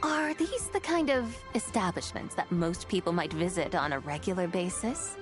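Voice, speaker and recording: A woman voicing a serene, dignified immortal adept in a video game, measured, formal and courteous. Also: on the low side, lively intonation, music in the background, lightly acted. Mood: politely curious. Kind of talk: video game cutscene acting.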